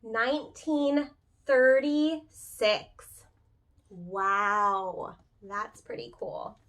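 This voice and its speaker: Higher-pitched woman reading a storybook aloud. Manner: expressive, pauses to ask listeners questions; informal, chatty explanations. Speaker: preschool teacher reading a picture book aloud. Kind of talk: children's storybook read-aloud with casual asides.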